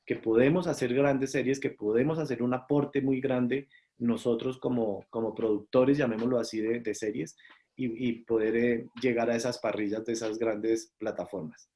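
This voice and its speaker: A man's voice: medium pitch, fairly even intonation, normal speed, dark tone. A Colombian man, a series producer, answering interview questions in a thoughtful way with many fillers and restarts. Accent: Colombian Spanish.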